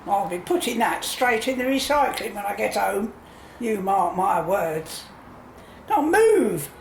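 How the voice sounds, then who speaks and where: A woman's voice: medium pitch, sing-song, a little slow, vocal fry. A woman playing a grumpy, bigoted older housewife who scolds and mutters indignantly in a radio play. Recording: roomy echo, faint noise.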